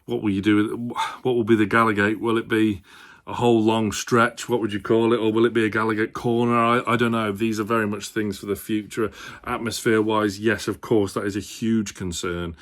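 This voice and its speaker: Low-pitched man giving casual football commentary. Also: little pitch movement, fairly quick. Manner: hesitant, thinking aloud, hedging. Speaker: football fan channel presenter. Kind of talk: casual opinion commentary to viewers.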